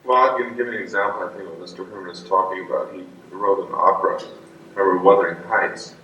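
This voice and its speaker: A man's voice: low-pitched, fairly even intonation, fast, muffled recording. Distant man in a very echoey room. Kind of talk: unscripted recorded discussion. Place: echoey room, far from the microphone. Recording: very echoey, distant, noisy archival room recording.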